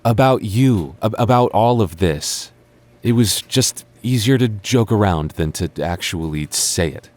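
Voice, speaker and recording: A deep-voiced young man, played by a male voice actor in an intimate audio roleplay. He is a defensive, teasing childhood friend hiding his affection. His delivery is halting and stammering, quick to bicker yet secretly smitten. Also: lively intonation, a little mellow. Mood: flustered, earnest. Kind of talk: intimate boyfriend ASMR roleplay acting.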